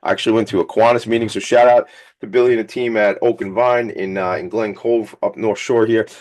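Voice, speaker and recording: A man hosting a radio talk show with a slightly hoarse, scratchy voice, talking in an energetic, rambling, hype-man way. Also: fairly low pitch, quick pace. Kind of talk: casual hype radio chat.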